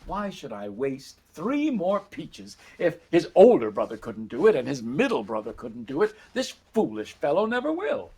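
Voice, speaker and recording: A man's voice: on the high side, wildly swooping pitch, normal speed, few pauses, mellow, slightly rough, full character voice. Man as a grudging, scornful storybook mother. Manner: exasperated, dismissive.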